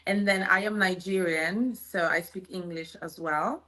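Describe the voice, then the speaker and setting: A woman's voice: fairly low pitch, fairly even intonation, a little slow, pushed and bright. Giggly young Italian-born woman. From Italy. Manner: giggly, a bit shy. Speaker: dating show guest. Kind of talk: casual interview chat.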